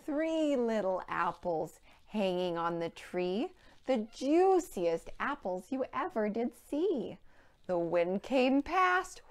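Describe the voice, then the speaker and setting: A woman in her thirties presenting a storytime for young children, with simple, clearly phrased rhymes and counting. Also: mid-range voice. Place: indoor room with playhouse backdrop.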